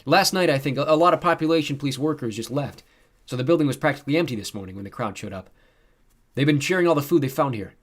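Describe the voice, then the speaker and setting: A man voicing an earnest, easily exasperated teenage boy who explains things haltingly and insistently as an amateur newscaster in a story. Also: mid-range voice, animated, voice pushed up, natural voice.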